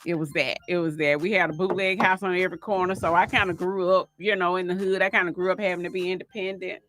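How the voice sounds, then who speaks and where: A woman's voice: fairly low pitch, steady tone, fast, background noise. A middle-aged woman from Alabama, telling homey stories as an interview guest. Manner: homey, laughing storyteller. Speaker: author and guest. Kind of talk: casual interview storytelling.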